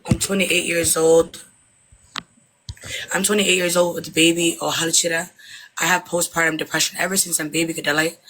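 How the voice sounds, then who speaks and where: A woman's voice: low-pitched, sharp tone, a little hiss or hum, poor audio. A woman in her twenties, an interviewee from Somalia, talking hesitantly and switching between Somali and English mid-sentence. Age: 20s. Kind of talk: casual conversation.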